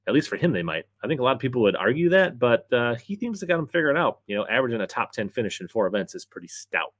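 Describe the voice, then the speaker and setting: Low-voiced American man giving picks. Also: fairly bright tone, dry recording. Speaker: fantasy fishing pundit and host. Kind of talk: sports pick commentary to camera. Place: small indoor room, seated at a desk.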